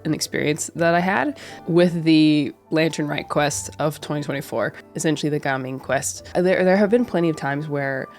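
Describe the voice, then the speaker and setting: Low-voiced woman with a head cold. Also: normal speed, a little mellow. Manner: earnest, rambling, many restarts and fillers. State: head cold, a bit nasal. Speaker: streamer and video creator. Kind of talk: casual reflective commentary to camera. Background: faint background music.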